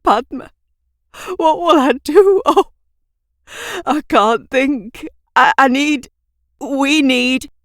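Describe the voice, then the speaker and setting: A woman voicing a distraught, fearful old man in an audio drama, halting and overwrought as he speaks. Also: high-pitched, fairly bright tone, dry recording, studio quality, voice pushed up, full character voice. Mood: panicked.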